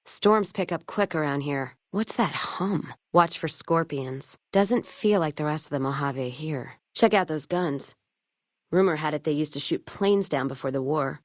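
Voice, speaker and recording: A cynical caravanner woman from a post-apocalyptic desert game. Her voice sits low, and she speaks in clipped, folksy, foul-mouthed remarks with a wry edge. The recording is dry, with no room around her. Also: dry recording. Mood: bemused. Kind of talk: video game companion voice lines.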